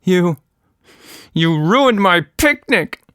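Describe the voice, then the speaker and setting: A man's voice: sits high, big pitch swings, drawn-out words, sharp tone, clear, resonant tone, no echo, clean studio sound, voice pushed up, exaggerated voice. A man voicing an indignant, protective little girl in a children's story. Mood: furious.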